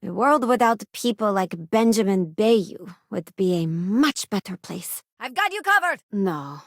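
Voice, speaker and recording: An actress voicing an earnest, idealistic former deputy turned starship crew member in a video game, sincere and plain-spoken. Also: female voice, mid-range voice, sing-song, a little slow, no echo, studio quality. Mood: earnest, reflective, then urgent, combat alert. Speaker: video game companion character. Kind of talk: acted video game voice lines.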